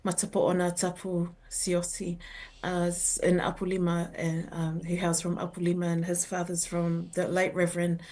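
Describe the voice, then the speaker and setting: Older woman, flat low halting voice. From New Zealand. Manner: halting, many fillers and restarts, earnest. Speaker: researcher and educator guest. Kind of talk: interview answers.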